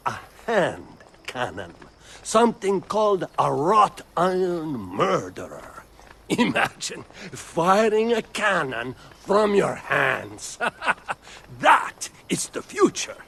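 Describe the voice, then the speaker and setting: An excitable, scolding engineer in a video game. His voice is high and swoops widely, emphatic and boastful, with a gravelly creak. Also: slow pace, a little hiss or hum, exaggerated voice. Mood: boastful, enthusiastic. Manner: animated, emphatic. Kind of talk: video game cutscene acting.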